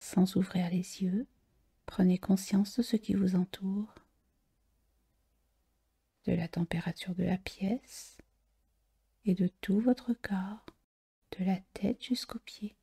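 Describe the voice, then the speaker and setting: Female meditation guide: hushed, very soft and mellow delivery, unhurried and soothing, heavy breathiness. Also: medium pitch, steady tone, gravelly, breathy edge. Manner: slow, soothing, with long pauses. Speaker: yoga nidra relaxation guide.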